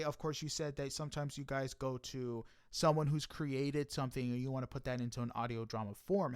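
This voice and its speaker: A man's voice: mid-range voice, smooth, no room sound, studio quality. A man interviewing a guest in a casual, agreeable and encouraging way. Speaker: podcast interviewer.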